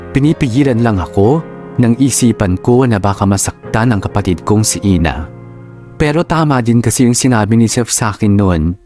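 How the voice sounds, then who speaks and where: A man's voice: fairly low pitch, a little mellow. A man with a Filipino accent narrating a dramatised first-person love letter as a radio drama storyteller.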